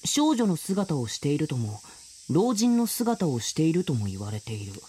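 A woman voicing an earnest, skeptical middle-school boy in an audio drama, acting his part and narrating his story with a husky voice. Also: low voice, sing-song, moderate pace, mellow, a little hiss or hum. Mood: reflective narrating.

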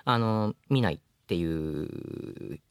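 A man's voice: sits low, expressive, slow pace, clean tone, no echo. A man hosting a radio show, giving earnest, frank advice in casual, slangy chat. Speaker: radio show host, voice actor.

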